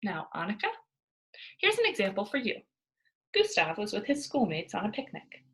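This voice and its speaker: A woman voicing a prim female schoolteacher in a children's storybook, brisk and coaxing with strained patience. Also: mid-range voice, huge pitch swings, a bit bright, slightly rough, exaggerated voice. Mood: brisk, bright. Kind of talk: children's storybook read-aloud.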